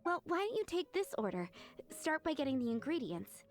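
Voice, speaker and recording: High-pitched, enthusiastic restaurant worker. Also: female voice, sing-song, fairly quick, over music. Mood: businesslike, urgent. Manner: encouraging, effusive. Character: friendly, enthusiastic young woman running a restaurant counter. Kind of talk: anime video game voice acting.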